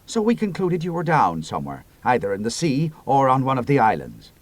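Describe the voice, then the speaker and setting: Guy reading as a steady navy captain, low and muttery, bit husky. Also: fairly quick, no room sound. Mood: concerned. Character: steady male naval destroyer commander.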